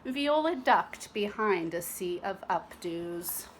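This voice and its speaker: Woman, children's storybook reader, lively pitch swings, unhurried, teacherly, faint background noise. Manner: teacherly asides to young listeners. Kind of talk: expressive children's read-aloud narration.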